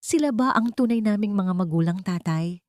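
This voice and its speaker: A woman's voice: mid-range voice, expressive, normal speed, darkish tone, dry recording, pitched above the performer's own voice, close to an everyday voice. Hurt, puzzled kid played by a woman narrator, plaintive questioning, dry audio melodrama sound. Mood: confused hurt. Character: confused, hurting young child questioning parent.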